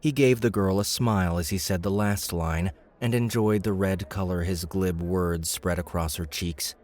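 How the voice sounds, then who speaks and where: A man's voice: sits low, fairly even intonation, normal speed, a little mellow. A man narrating a fantasy audiobook as a scripted read.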